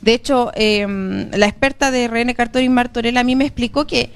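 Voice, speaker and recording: A woman's voice: on the high side, fairly even intonation, normal speed, clear, resonant tone. A Chilean woman speaking as an interview guest, explaining and arguing her points in a conversational way. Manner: explanatory, argumentative.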